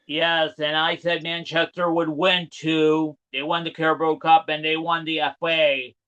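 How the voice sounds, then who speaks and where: Male sports recap co-host, high and bright big voice, conversational, slightly dull recording. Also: flat delivery, measured pace, clear, resonant tone. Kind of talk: casual sports recap chat. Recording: dull, lo-fi sound.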